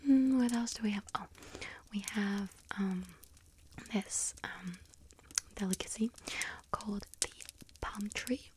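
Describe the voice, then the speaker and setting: A woman playing a sweet-toothed, friendly little witch who tends a bakery, in a whispered ASMR roleplay, speaking gently and savoringly in a breathy whisper with small hesitations. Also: mid-range voice, slow pace, long pauses, a little hiss or hum, lightly acted. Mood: gentle, savoring. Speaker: ASMR roleplay performer as bakery shop assistant.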